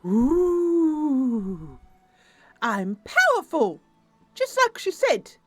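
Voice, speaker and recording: A woman's voice: sits high, wildly swooping pitch, drawn-out words, soft background music, pitched above the performer's own voice, exaggerated voice. A woman voicing a tiny, playful female water nixie in a fantasy roleplay, with a theatrical, gushing manner. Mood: playful boasting. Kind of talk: tabletop fantasy roleplay character.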